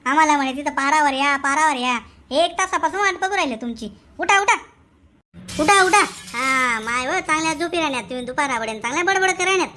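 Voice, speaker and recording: A woman's voice: high voice, lively intonation, fairly quick, sharp tone. A woman voicing a chattering, teasing village neighbour woman in a comic cartoon, with a Khandeshi Marathi accent. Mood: impatient. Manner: exclamatory, gossipy, rural colloquial.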